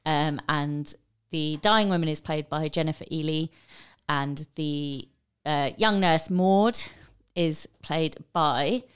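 A woman's voice: deep voice, slow pace, clean tone. A woman co-hosting a podcast, chatting enthusiastically about a film, with lots of fillers and restarts. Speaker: podcast co-host. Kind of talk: casual film discussion.

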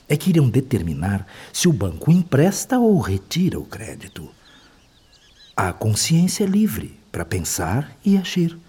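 An actor playing a wise, solemn male spirit guide who teaches in measured phrases in a radio drama. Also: low voice, warm tone, breathy edge, exaggerated voice. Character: wise, instructive male spirit guide explaining moral laws. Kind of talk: radio drama acting, didactic.

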